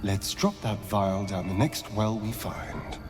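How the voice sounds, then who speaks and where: An actor playing a sly, sardonic vampire rogue in a video game, speaking in an arch, theatrical drawl. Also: male voice, on the low side, slow. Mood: amused, sly. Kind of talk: dramatic game character acting. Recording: music behind the voice.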